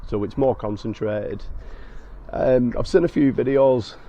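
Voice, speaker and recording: A man with a croaky, hoarse voice from being ill, hosting a car detailing vlog and explaining each step in a chatty way with many fillers. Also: mid-range voice, steady tone, a little slow, dark tone. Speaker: car detailing channel host. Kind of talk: casual talk to camera with fillers. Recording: dull lo-fi sound.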